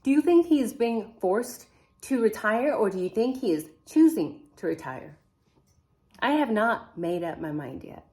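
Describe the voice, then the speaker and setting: A woman's voice: a little high, very animated, a little slow, darkish tone. A woman whose first language is not English, hosting a casual true crime vlog and chatting to viewers, wondering aloud and putting questions to them. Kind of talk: casual to-camera chat.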